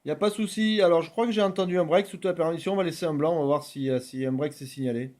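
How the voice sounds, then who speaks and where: A man's voice: on the high side, very fast, noisy background. A man making radio contact, courteous, with procedural call-outs and stock phrases. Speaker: amateur radio operator. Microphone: radio's original microphone.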